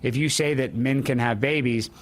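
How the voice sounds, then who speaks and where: A man's voice: mid-range voice, moderate pace. A man hosting a talk show with brash, joking, opinionated banter in a casual style.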